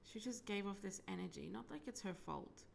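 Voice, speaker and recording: A woman with a croaky voice, giving a hesitant psychic reading full of ums and whispered asides. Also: mid-range voice, expressive, fairly quick, slight background noise. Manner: hesitant, filler-heavy, drifting into whispered asides. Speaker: psychic medium. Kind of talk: solo psychic reading monologue.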